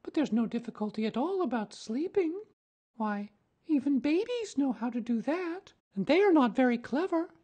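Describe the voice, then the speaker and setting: A woman's voice: high-pitched, big pitch swings, normal speed, mellow, slightly rough, exaggerated voice. A woman voicing an earnest, outspoken young girl, speaking in a prim, matter-of-fact way. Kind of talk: audiobook drama reading.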